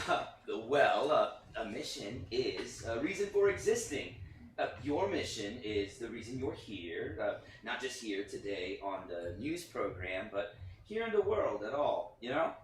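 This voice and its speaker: A man playing an earnest, flustered children's TV puppeteer in a staged play reading, speaking haltingly. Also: mid-range voice, sing-song. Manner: halting, earnest, nervous chuckles. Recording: echoey, distant, faintly noisy reading audio.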